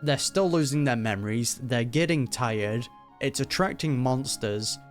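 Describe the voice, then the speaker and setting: A game streamer reacts in casual, mid-pitched speech, musing aloud at a close microphone. Game music plays behind the voice. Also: lively intonation, measured pace. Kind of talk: casual gameplay reaction commentary.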